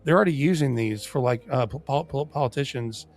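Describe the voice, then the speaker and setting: A man's voice: medium pitch, fairly quick, soft background music. A man who is losing his voice, chatting casually as a livestream co-host in a sweary, informal way, stumbling over his words.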